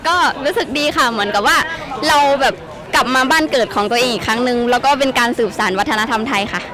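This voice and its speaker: Young woman, polite, high voice, interviewed in a busy crowd. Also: rapid-fire. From Thailand. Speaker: exchange student. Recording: noisy crowd outdoors.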